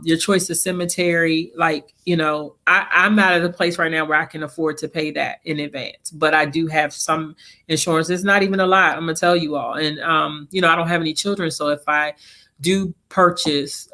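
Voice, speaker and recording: A woman's voice: sits low, bright, forceful tone. A woman hosting a casual panel conversation over a video call, chatty and personal, a little sniffly as she gets over a cold. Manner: chatty, personal, laughs easily.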